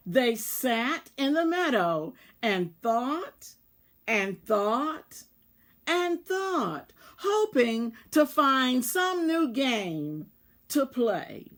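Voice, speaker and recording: Casting: female children's story reader, unhurried and deliberate, with lively, expressive intonation. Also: a little high. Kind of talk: expressive children's read-aloud narration.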